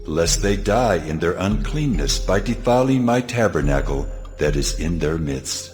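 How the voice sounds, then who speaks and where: A man's voice: low voice, expressive, a little slow, darkish tone. A man voicing God as a solemn, commanding divine figure in a dramatized scripture reading. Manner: solemn, authoritative. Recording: long cavernous reverb, music underneath. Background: music.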